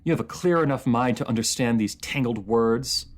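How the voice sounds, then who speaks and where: A man's voice: mid-range voice, moderate pace, natural voice. A man playing an anguished, proud enemy ruler in a fantasy audio roleplay, speaking in formal, theatrical phrases that halt with emotion. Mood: bitter, challenging. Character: captive enemy ruler, anguished, proud, lovesick, under truth potion.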